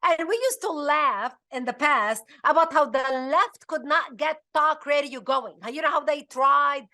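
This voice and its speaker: High-pitched, bright, woman, emphatic and urgent talk show host. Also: unhurried. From Lebanon. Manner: emphatic, urgent, with frequent restarts. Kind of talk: impassioned political talk.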